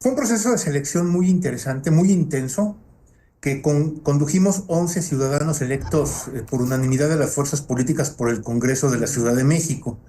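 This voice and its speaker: A man being interviewed as a council president, answering formally and deliberately with frequent hesitations. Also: on the high side, dark tone. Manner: formal, deliberate, with many "eh" fillers.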